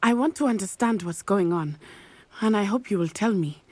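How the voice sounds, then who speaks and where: A woman's voice: medium pitch, animated, normal speed, no room sound, natural voice. A woman with a Southern African accent playing an earnest, brave schoolgirl witch in a video game, phrasing things formally. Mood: concerned.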